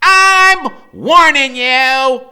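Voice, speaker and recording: Man doing a high, bold, brainy little boy. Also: very slow, bright, forceful tone, exaggerated voice. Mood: fierce, bold. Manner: bold, theatrical, cocky. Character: brave, brainy, fierce little boy bluffing a villain. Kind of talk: dramatic audiobook character reading.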